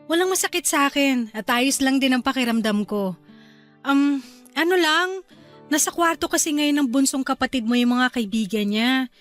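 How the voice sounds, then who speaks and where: An actress playing a gentle, caring young wife in a Filipino radio drama, speaking softly and intimately with a Filipino accent. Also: female voice, high voice, moderate pace, soft background music. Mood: mildly complaining.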